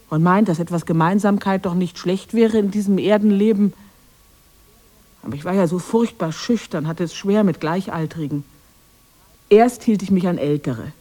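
A woman's voice: medium pitch, a little mellow. An actress playing a lonely, misunderstood witch of the woods in a radio drama, defending herself in a plaintive way. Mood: plaintive, defensive. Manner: plaintive, self-justifying.

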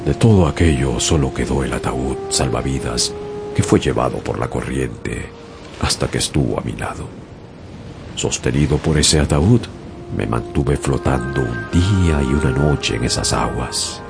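An actor narrating as a young sailor who survived a shipwreck, in grave, measured storytelling. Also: male voice, extremely low pitch, vocal fry, exaggerated voice. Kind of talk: dramatic audiobook narration. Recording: loud music bed under narration.

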